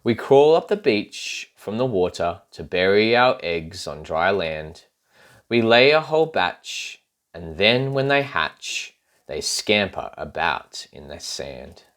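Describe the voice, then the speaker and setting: Male reader as mother turtle, storybook, gentle, singsong, unhurried. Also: deep voice, big pitch swings, exaggerated voice.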